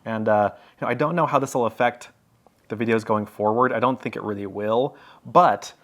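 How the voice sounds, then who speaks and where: A man with a somewhat low voice recounts a story to his camera in an easygoing vlog. He talks loosely and rambles, trips over his own words and leans on "like" and "you know". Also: a little fast, fairly bright tone. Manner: rambling, filler-heavy storytelling with many restarts. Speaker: travel vlogger. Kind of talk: casual chat to camera.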